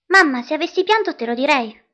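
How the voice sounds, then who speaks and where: Woman playing a high-voiced, stubborn little girl. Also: lively intonation, fast, sharp tone, slight background noise. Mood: defiant. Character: stubborn, teasing young girl, older sister. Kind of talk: dubbed film acting in Italian fandub.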